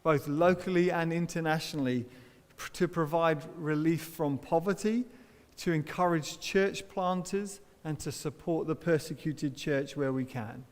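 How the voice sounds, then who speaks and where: A man preaching a sermon, telling personal stories with gentle humor and the odd laugh. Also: a little high, measured pace. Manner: anecdotal, warm humor, occasional laughs. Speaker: pastor. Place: church auditorium. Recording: long reverb of a church hall.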